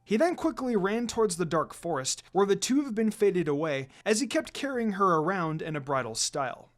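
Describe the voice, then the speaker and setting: A narrator reading a scripted fan fiction story aloud in an expressive storytelling style. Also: medium pitch, moderate pace. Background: background music.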